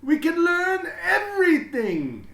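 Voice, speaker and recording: Here is an exuberant, school-obsessed principal from a children's storybook. He booms proudly in a raised, swooping voice and stretches his words for emphasis. The room echoes around him. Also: wildly swooping pitch, vocal fry, fairly bright tone, full character voice. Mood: gushing delight. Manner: booming, drawn-out, emphatic delivery.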